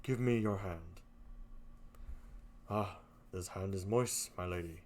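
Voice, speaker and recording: A reader voicing Othello as a low, brooding, jealous male general, speaking slowly and heavily in a play read aloud. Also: darkish tone, a little hiss or hum.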